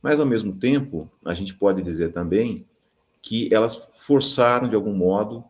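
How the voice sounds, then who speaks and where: Low-voiced guy moderating, keeps tagging stuff with little questions, calm and measured. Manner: measured, with frequent tag questions. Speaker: mediator. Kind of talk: academic panel introduction.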